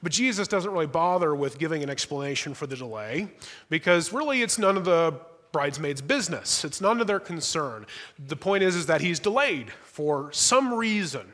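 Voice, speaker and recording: A young male pastor gives a sermon from the front of a large church sanctuary, with a fairly high voice. He speaks in a conversational way, adds light humor and often restarts small phrases. The room carries a long, ringing church reverb. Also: lively intonation, moderate pace, fairly bright tone.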